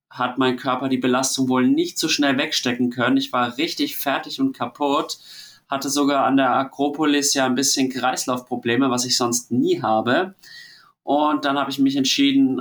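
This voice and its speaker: A man from Germany talks through his travel diary in a loose, rambling way, and he has a cold and sounds worn out. His voice sits at an ordinary middle pitch. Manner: relaxed, rambling, chatty storytelling. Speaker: host of a travel diary podcast. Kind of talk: casual spoken travel diary.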